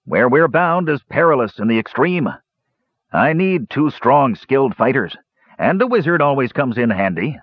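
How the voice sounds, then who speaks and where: A man's voice: mid-range voice, very animated, normal speed, no echo, dull audio, voice pushed up. A man voicing a pot-bellied, bearded dwarf adventurer in an audiobook, cunning and cajoling with mock solemnity. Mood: jovial. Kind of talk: audiobook character voice.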